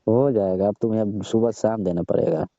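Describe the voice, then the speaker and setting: A middle-aged man with a very deep, mellow voice speaks slowly and casually, and his tone is flirting. The phone call sounds muffled and dry. He answers with short murmured agreements between his longer lines. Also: vocal fry, no room sound. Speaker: village quack doctor. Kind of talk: staged funny phone call.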